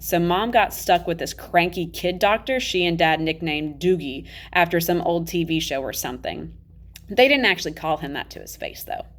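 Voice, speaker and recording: Expressive woman reading aloud, slightly low-pitched. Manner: expressive, emphatic, acts out dialogue. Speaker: teacher reading a children's novel aloud. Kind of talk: animated storybook read-aloud.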